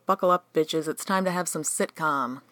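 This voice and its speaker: A woman's voice: sits low, moderate pace, heavy background noise, close to an everyday voice. A woman playing a brash, bossy spirit guide in a comic radio play, commanding and sarcastic.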